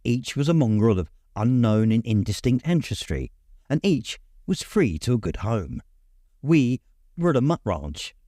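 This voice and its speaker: A man reading a memoir aloud as an audiobook narrator, in measured storytelling phrases. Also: medium pitch, big pitch swings, warm tone, dry recording, studio quality. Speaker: audiobook reader. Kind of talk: scripted literary narration.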